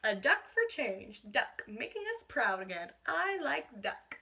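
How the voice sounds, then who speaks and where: A woman reading a picture book aloud to children as a teacher, expressive, with explanatory asides and questions for young listeners. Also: fairly high pitch, unhurried, vocal fry, noisy background.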